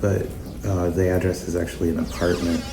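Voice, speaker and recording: An actor playing a reserved, uneasy man questioned by police, speaking in hesitant, halting phrases in a naturalistic fiction podcast. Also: sits low, flat delivery. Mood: hesitant, subdued. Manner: hesitant, halting, mumbling fillers. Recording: echoey room, prominent music behind.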